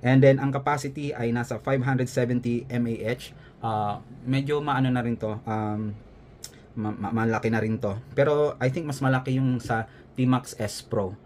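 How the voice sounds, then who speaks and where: A man's voice: mid-range voice, fairly even intonation, moderate pace, a little mellow, a little hiss or hum. A man with a Filipino accent presenting a product in a casual vlog style, explaining conversationally and often checking in with a short tag question. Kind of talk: casual unboxing and product review, explaining as he goes.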